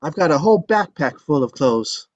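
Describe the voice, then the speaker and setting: A man's voice: on the high side, expressive, moderate pace, a little mellow, no room sound, dull audio. A man reading an earnest young man in love. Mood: pleading. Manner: earnest, pleading. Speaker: table read actor. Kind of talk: live table read acting.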